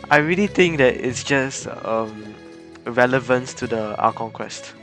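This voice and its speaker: A man streaming a video game in a casual, conversational voice of medium pitch. He rambles and hesitates, thinking aloud, with faint music in the background. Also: measured pace, a bit bright. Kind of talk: casual livestream commentary.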